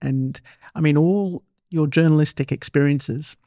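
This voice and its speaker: A man's voice: fairly high pitch, fairly even intonation, measured pace, dark tone, no room sound, studio quality. A man hosting a casual, conversational interview. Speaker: show host, interviewer.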